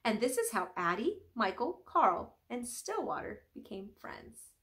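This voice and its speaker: A young woman reading a children's storybook aloud in an expressive, teacherly way with careful pauses. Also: on the high side, a little hiss or hum. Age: young adult.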